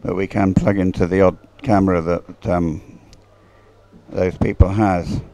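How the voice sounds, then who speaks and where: British man, lowish voice, live race commentator, unscripted, hesitant, rambling and observational. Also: little pitch movement, a little slow, mellow. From United Kingdom. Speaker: sports commentator.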